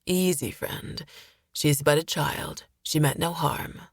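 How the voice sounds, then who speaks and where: A woman's voice: low voice, steady tone, slow pace, creaky, dry recording, clean studio sound, full character voice. Woman voicing a guarded, cool-headed male traveller. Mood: calm, firm.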